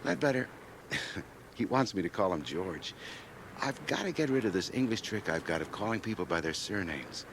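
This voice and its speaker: Brash rising businessman: hearty, self-satisfied, lively swooping intonation, old radio drama with music. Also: fairly low pitch, moderate pace, background noise. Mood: smug, enthusiastic. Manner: boastful, hearty, opinionated. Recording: 1980 radio drama cassette transfer.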